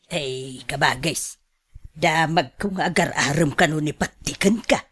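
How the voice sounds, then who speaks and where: Slow-paced scolding older woman played by an actress. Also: deep voice, fairly bright tone, husky, exaggerated voice. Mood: nosy, teasing. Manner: admonishing, emphatic, gossipy. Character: nosy, scolding older sister and mother with pension. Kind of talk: radio drama acting.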